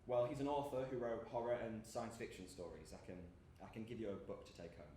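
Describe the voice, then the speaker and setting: A man's voice: lowish voice, animated, fast, dark tone, natural voice. Male actor as awkward young gamer guy, hesitant, apologetic, live stage play, echoey, faint noise. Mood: awkward, friendly. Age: young adult. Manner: nervous, rambling, apologetic, hesitant. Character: awkward, eager, lonely male gamer and security guard. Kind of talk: live stage acting, naturalistic dialogue. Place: indoor stage performance space. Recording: echoey room from far off, background noise.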